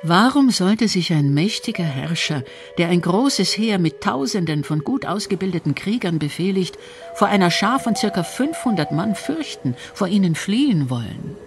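A narrator reading a scripted history documentary in a measured storytelling register. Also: mid-range voice, sing-song, music in the background.

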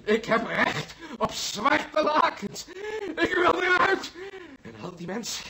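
An actor playing a morbidly superstitious hospital patient, frantic and accusatory, in a Dutch radio play. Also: male voice, very high voice, very animated, slow pace, sharp tone, exaggerated voice. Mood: hysterical terror. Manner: frantic, accusatory, prone to wailing.

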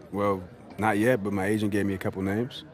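Young male rookie hoops star for a sports game, voiced by a male actor: lowish pitch, confident, casual, dryly joking. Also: steady tone, normal speed, close to an everyday voice. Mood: thoughtful. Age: young adult. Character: confident, laid-back young male pro basketball rookie. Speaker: rookie basketball player. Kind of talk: naturalistic video game cutscene acting.

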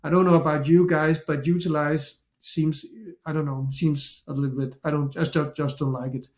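A man's voice: on the high side, little pitch movement, normal speed, a little mellow. A middle-aged man with a Danish accent, chatting through a screen-share tutorial and thinking aloud with frequent restarts. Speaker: SEO tutorial host. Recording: echoey room.

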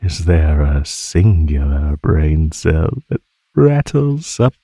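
A man voicing a teasing, menacing male naga in an ASMR roleplay, speaking in a mocking, condescending drawl with pauses. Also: rumbling low, sing-song, gravelly, mellow, breathy edge, dry recording, exaggerated voice. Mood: gleeful belittling. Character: teasing, menacing male naga predator toying with captive prey.

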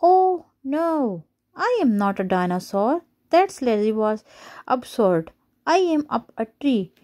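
A woman voicing a tiny boastful mouse from a storybook, excitable, exclamatory and bossy. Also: fairly high pitch, takes it slowly. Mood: indignant. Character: tiny boastful excitable mouse who thinks he's a dinosaur.

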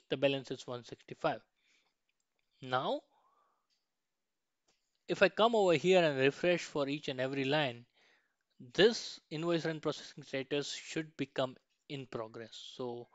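A man giving an informal step-by-step software walkthrough, often checking in at the end of a point. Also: on the high side, no room sound, muffled recording. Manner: frequently ends points with a questioning "right". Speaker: software tutorial instructor. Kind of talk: screen-share tutorial walkthrough, informal step-by-step.

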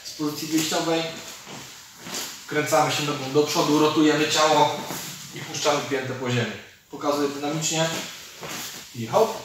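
A man's voice: on the high side, steady tone, moderate pace, pauses often. A man in his thirties, a martial arts coach, giving hands-on instruction and explaining techniques as he demonstrates them. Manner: explains while demonstrating moves. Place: large indoor gym with fighting cage. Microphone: camera mic from several metres away. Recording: distant mic, very echoey hall, noisy.

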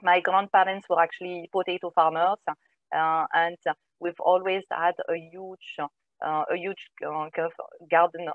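A woman's voice: on the low side, no echo. French-accented woman on a tinny video call. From northern France. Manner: hesitant, many filler sounds. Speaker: guest, company co-founder. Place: home room, indoors. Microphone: wired earphone headset on video call. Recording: dry, tinny call audio.

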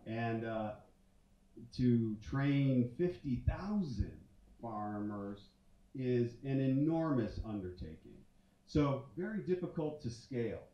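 A man's voice: mid-range voice, lively intonation, takes it slowly. Man in his forties, conference talk, filler-heavy, echoey auditorium, heard from afar. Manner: conversational, many fillers and restarts. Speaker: conference speaker. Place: large dark auditorium stage. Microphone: handheld mic. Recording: roomy hall sound from a distance, muffled.

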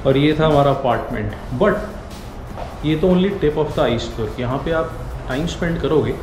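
A man's voice: medium pitch, animated, moderate pace, roomy sound, poor audio. A man in his thirties strolls through an apartment building on a handheld camera, chatting easily in Hindi with English phrases mixed in. His medium voice rings off bare walls in empty rooms, with music playing underneath. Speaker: vlogger giving a tour. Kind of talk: casual Hindi-English tour narration. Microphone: handheld action camera, wide angle.

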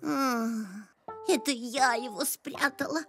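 Perky, helpful young cartoon friend: high register, measured pace, encouraging and expressive. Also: female voice, over music. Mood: exasperated, sheepish. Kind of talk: children's cartoon voice acting.

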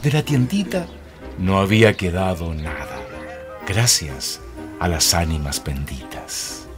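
A man narrating a scripted radio drama as a measured storyteller. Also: low voice, animated. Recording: music behind the voice.

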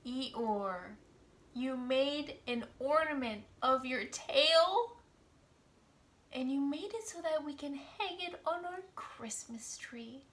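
A woman in her thirties hosting a storytime, talking expressively and deliberately to small children. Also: sits high, expressive, fairly bright tone. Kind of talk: expressive picture-book storytime reading for young children. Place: small indoor room at home.

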